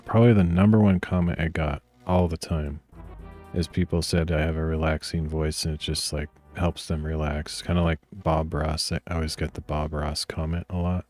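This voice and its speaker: A man with a very deep, grainy voice talks himself through a coding session in a soft, meandering murmur. He clears his throat often, and faint music plays behind him. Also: moderate pace. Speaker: streamer coding live. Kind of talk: casual thinking aloud while coding.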